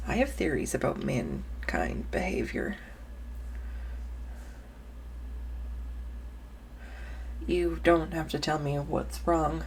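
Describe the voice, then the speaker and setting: An awkward, kind-hearted young woman, acted by a woman in an intimate audio roleplay, talks in a low, slow voice. She hesitates, corrects herself and rambles earnestly, close to the mic. There is some faint noise behind her. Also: darkish tone, full character voice, rough recording. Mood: nervous, joking. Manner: nervous rambling, halting, self-correcting, earnest. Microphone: close USB condenser microphone.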